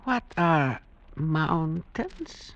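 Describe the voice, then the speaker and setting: An actor playing a scornful old male village elder with a creaky voice, condescending, in a vintage radio drama. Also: fairly high pitch, big pitch swings, fairly bright tone, no echo, exaggerated voice. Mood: scornful, commanding. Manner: slow, pronouncing, condescending. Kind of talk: 1940s-50s radio drama acting. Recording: dull vintage radio broadcast recording.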